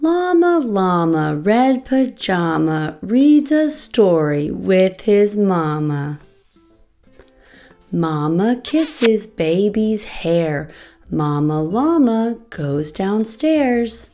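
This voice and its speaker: Woman reading aloud slowly to young children. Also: medium pitch, faint music behind. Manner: slow, expressive, addressing young children. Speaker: children's storybook reader and channel host.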